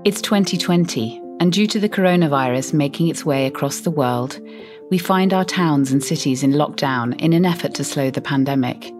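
Low-voiced woman reading a podcast intro. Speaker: podcast host. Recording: voice over clear music.

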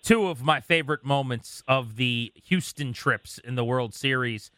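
A man's voice: mid-range voice, measured pace, sharp tone, slight background noise. A man hosting a sports radio show, chatting casually with a fan's enthusiasm. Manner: enthusiastic fan, conversational.

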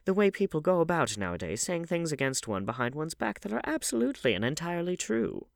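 Male reader as cynical society gentleman, classic novel; urbane and witty, varied intonation, dry sound. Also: a little high, sing-song, fast talker, gravelly, no echo, voice pushed up. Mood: mock serious. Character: witty, languid male aristocrat, cynical dinner guest.

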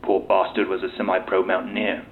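A man's voice: deep voice, monotone, fairly quick, lightly acted. A man playing a bitter, cynical, foul-mouthed military officer being interviewed in an audio drama. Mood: weary, bitter. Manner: cynical, exasperated, sweary. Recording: tinny lo-fi interview tape with hiss.

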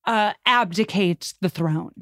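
A woman speaking as a podcast guest, chatting casually about history in an animated, colloquial way full of "like" and acted-out asides. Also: mid-range voice, slow pace, slight background noise. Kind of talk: casual conversational commentary on history.

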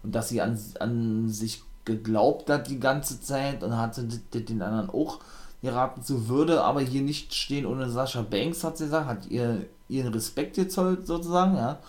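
A man talks his way through a weekly wrestling recap. His voice sits at a middle pitch. He rambles, starts sentences over and leans on casual fillers as he goes. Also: normal speed, a little mellow. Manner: rambling, many fillers and restarts, colloquial. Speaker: wrestling show reviewer. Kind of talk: casual spoken weekly review.

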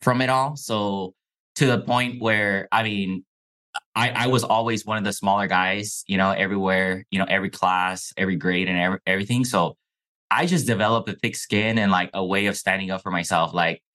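A young man with a lowish voice, raised in Mexico and a Chinese speaker from birth, talks through his childhood as a podcast guest. He keeps it loose and casual, dropping "bro" and "like" as he goes. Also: steady tone, fairly quick, pushed and bright. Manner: laid-back, says "bro" and "like" often. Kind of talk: casual interview storytelling.

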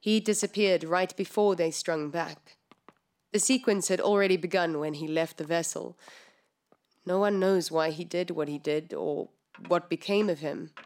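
An actress plays a precise, earnest woman scientist in an audio drama. Her voice sits fairly low, and she explains in a formal, careful manner with music playing behind her. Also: steady tone, normal speed, a little mellow, lightly acted. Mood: somber.

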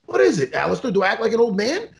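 Excitable joking man in his forties. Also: high voice, rapid-fire, vocal fry. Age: 40s. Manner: loud, joking, teasing, swings up into shouts. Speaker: host. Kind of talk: casual video-call interview.

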